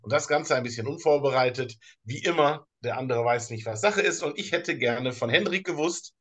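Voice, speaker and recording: German male podcast co-host, easygoing and halting, mid-pitched voice. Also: a bit bright. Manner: hesitant, many fillers and restarts, slow in places. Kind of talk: casual podcast chat.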